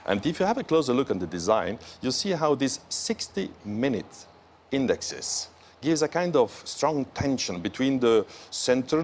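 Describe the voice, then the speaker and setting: Low-voiced guy walking through fancy watches, slow admiring phrases, faint hum behind. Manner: careful, admiring, pauses between phrases. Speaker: watch expert presenting new watches. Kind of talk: live show product walkthrough.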